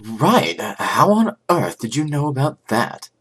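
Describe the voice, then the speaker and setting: A man voicing a composed, formal prosecutor in a game fandub, speaking in a measured, matter-of-fact way. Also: mid-range voice, big pitch swings, a bit bright, husky, heavily acted. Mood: surprised. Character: composed, formal male prosecutor briefing on a case. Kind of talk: scripted game fandub acting.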